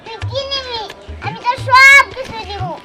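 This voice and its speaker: An actor voicing a kindly ghost who befriends a boy, in a very high, squeaky put-on voice. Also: moderate pace. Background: background music.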